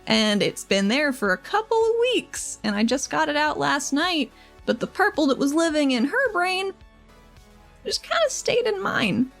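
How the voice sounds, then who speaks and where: Woman playing troubled, earnest heroine, higher voice. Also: very animated, gravelly, a bit bright, faint music behind, heavily acted. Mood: hesitant, confessing. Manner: halting, pensive, trailing off. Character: troubled, earnest, resigned young woman regulator. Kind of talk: improvised tabletop roleplay dialogue.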